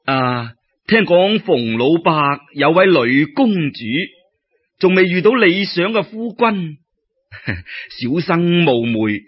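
Storybook young scholar played by a male narrator: frivolous, smitten, flowery Cantonese, husky, lively; dull lo-fi sound. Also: a little high, slow pace, pitched above the performer's own voice, full character voice. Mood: polite, eager. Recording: dull lo-fi radio-style recording.

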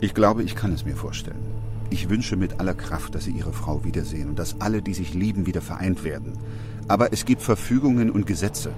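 An actor playing a sober, dutiful doctor who also narrates a radio drama, in a measured and restrained manner. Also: male voice, low voice, lightly acted. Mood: restrained, firm. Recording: music behind the voice. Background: music.

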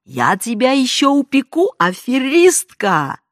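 A woman putting on a shrill spiteful aunt. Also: big pitch swings, slow pace, fairly bright tone, dry recording, studio quality, heavily acted. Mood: shrieking. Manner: shrieking, mocking, accusing. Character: loud shrill spiteful middle-aged aunt.

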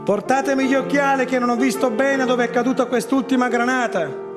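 A man's voice: extremely high pitch, fairly even intonation, brisk, music in the background. A man voicing a stubborn, grumbling village grandfather in a radio play. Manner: grumbling, insistent. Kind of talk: radio theatre acting.

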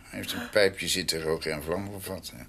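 An older man playing a world-weary, wry ageing doctor in a radio drama, speaking haltingly and repeating himself. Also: deep voice, steady tone, gravelly, pushed and bright, slight background noise, exaggerated voice. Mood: wry, musing. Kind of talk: Dutch radio drama acting.

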